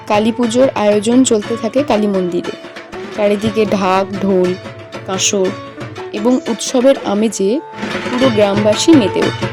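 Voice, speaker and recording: A woman narrator reading a scripted children's story. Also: medium pitch.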